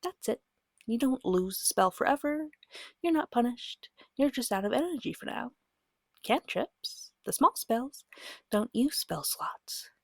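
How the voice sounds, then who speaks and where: A woman speaking softly in a gentle, patient ASMR style, often slipping into a whisper as she guides a beginner in short, measured phrases. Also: a little high, expressive, dry recording, clean studio sound. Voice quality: soft, breathy, often dropping to a whisper. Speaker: guide teaching a beginner tabletop game. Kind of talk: soft-spoken ASMR, slow guided explanation.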